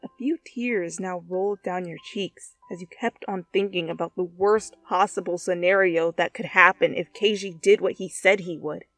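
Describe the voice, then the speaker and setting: A woman reading fan fiction aloud as an expressive second-person story narration. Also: lowish voice, moderate pace, few pauses, soft background music.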